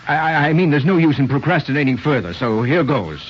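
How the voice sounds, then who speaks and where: A male actor playing an awkward, tender middle-aged American father in a halting 1940s radio drama style. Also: a little high, sing-song. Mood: flustered, halting. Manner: halting, hemming and throat-clearing. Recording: muffled vintage radio with music behind.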